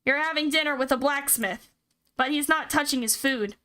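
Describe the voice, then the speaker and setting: A woman streaming a game, reading the story aloud and breaking in with excitable reactions. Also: high-pitched, moderate pace. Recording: loud music behind the voice. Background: loud game music.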